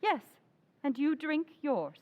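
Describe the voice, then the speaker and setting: Woman playing a very high-pitched, frightened wife. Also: very animated, narrow, tinny audio, heavily acted. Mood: wary. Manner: halting, breathless repetition, highly emotional. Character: frightened, desperate, suspicious wife. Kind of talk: old-time radio horror acting.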